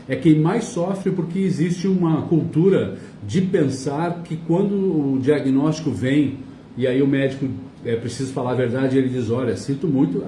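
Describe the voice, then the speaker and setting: A man's voice: mid-range voice, dark tone, slight background noise. A man hosting a radio talk show, asking his guests long, conversational questions. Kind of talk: casual interview chat. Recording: echoey room, mic at a distance.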